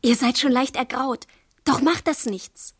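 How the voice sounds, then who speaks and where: An actress playing an earnest, lovestruck young girl in a radio drama, speaking softly and hesitantly with a breathy voice. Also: high-pitched, a little slow. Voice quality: breathy, soft. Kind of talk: literary radio drama acting.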